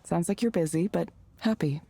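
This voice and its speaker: A woman voicing a reserved, friendly character in a visual novel, soft-spoken and understated. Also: fairly low pitch, normal speed, vocal fry, a little hiss or hum.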